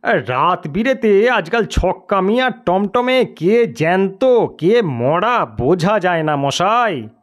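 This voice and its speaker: Man acting a pompous, suspicious police officer, swooping high pitch. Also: expressive, takes it slowly. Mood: accusing. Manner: gruff, grave-toned, blustering. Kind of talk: dramatised audio storybook character.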